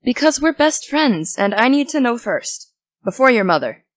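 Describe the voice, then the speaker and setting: A young American woman playing an excitable, teasing teenage aspiring journalist in naturalistic, casual audio drama dialogue. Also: fairly high pitch, normal speed, dry recording, clean studio sound. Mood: probing.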